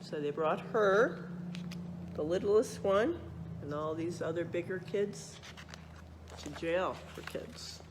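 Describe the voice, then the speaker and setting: A woman reading a children's picture book aloud, expressively, pausing to check in with young listeners. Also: lowish voice, slight background noise. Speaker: read-aloud storyteller.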